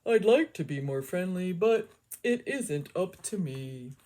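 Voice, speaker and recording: Woman doing a pouty storybook fish, glum, very slow, lively pitch. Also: slight background noise. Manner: slow, dreary sing-song refrain.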